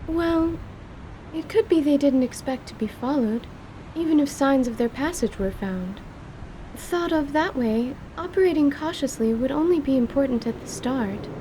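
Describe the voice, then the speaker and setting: A woman performs an earnest female surgeon in a fantasy radio drama. Her voice sits fairly high, and her wording is formal and careful. There is noticeable noise behind her. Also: animated, moderate pace, dark tone, poor audio. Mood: thoughtful, prim. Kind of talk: radio theatre character acting.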